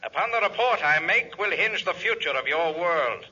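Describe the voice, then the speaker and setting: Sharp-voiced, imperious spaceman. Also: a little high, expressive, moderate pace, heavily acted. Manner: commanding, stilted formal speech. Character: imperious arrogant male visitor from outer space. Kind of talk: 1950s radio adventure serial acting. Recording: dull, raspy 1950s radio recording.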